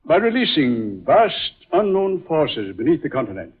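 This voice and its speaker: An actor playing a solemn, dramatic man in an old-time radio drama, speaking in a deliberate, theatrical way. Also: fairly high pitch, very animated, slightly rough. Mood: solemn, musing. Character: solemn male film star, grave and dramatic. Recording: muffled, tinny vintage radio recording.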